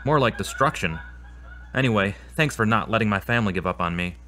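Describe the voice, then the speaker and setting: A man's voice: on the low side, animated, fairly quick, natural voice. A man acting as a troubled, self-doubting therapy patient in a scripted audio drama, speaking in an earnest, confessional way. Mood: humble, penitent. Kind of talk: scripted audio drama dialogue and spoken prayer.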